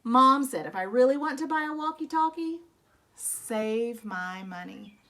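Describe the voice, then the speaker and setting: A woman reading a children's picture book aloud expressively, telling it as a young boy, with dramatic emphasis and drawn-out rueful phrases. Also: fairly high pitch, expressive, a bit bright. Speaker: storybook reader. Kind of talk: expressive read-aloud of a children's story in a young boy's first person.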